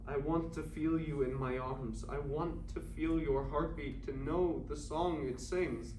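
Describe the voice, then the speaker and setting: A man's voice: a little high, natural voice. A man playing a lovesick 1920s writer, reading his love letters earnestly and poetically in a staged reading. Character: lovesick male writer in 1920s Paris, yearning and fearful. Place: indoor performing arts academy, mic far off. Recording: distant, echoey, noisy live stage recording.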